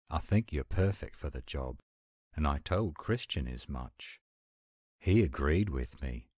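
A man playing a gruff, weary but kind werewolf pack leader, measured and plain-spoken, in an audio roleplay. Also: very deep voice, dark tone, no echo, clean studio sound, full character voice.

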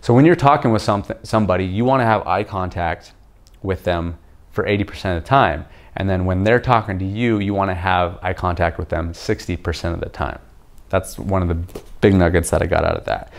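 Young man, very low deep voice, casual to-camera vlog, relaxed explaining, home kitchen. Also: brisk, creaky. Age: young adult. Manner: relaxed, explanatory, often checks in with "okay?". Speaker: video host reviewing a book. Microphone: camera facing him at chest-up framing.

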